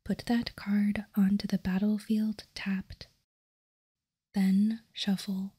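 A woman whispering softly in an unhurried, measured ASMR style as she reads out and comments. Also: mid-range voice, level tone. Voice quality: breathy, unvoiced whisper. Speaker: host of a card-game ASMR show.

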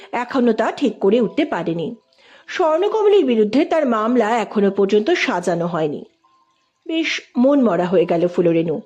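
A woman storyteller reading scripted fiction with expressive narration. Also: a little high, muffled recording.

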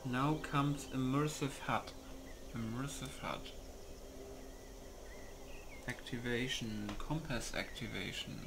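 A man's voice: medium pitch, takes it slowly, long pauses, background noise. A man giving casual gameplay commentary, muttering as he reads menu options aloud. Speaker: gamer recording a playthrough. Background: music.

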